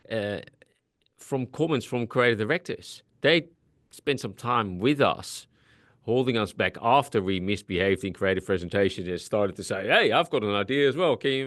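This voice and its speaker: A man's voice: medium pitch, fairly bright tone, no room sound, clean studio sound. A man from the Netherlands with a Dutch accent, presenting in a webinar in a direct, earnest way with frequent restarts.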